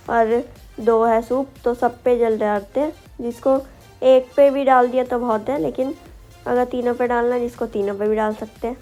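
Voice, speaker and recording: Young woman, sore throat, fairly high voice, flat delivery, step-by-step vlog narration, faint music behind. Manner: explaining each step. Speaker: vlogger. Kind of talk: voice-over narrating a family festival.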